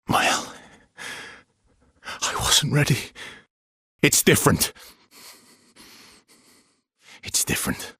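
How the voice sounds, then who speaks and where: An actor playing a weary, guarded, sorrowful young man in a video game, speaking softly and haltingly with earnest feeling and a husky, breathy voice. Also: lowish voice, dry recording, studio quality, full character voice. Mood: grieving, bitter. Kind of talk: dramatic video game acting.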